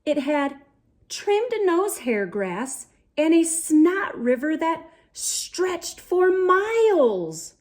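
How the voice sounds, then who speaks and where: A woman telling a children's story in an expressive read-aloud style, theatrical and playful, with dramatic pauses and emphasis. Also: very high-pitched. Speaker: children's story reader, host.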